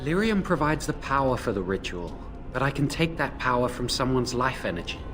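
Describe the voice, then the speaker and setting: A man's voice: mid-range voice, expressive, a little fast, over music, lightly acted. A man voicing a remorseful young mage in a video game, apologetic and earnest as he explains a risky ritual.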